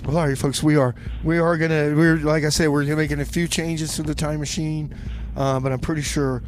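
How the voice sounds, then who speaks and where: A man's voice: fairly high pitch, flat delivery, a little fast. Chatty male radio host with faint noise. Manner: chatty, folksy, repeats phrases. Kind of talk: casual music radio hosting with comedy banter. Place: radio studio.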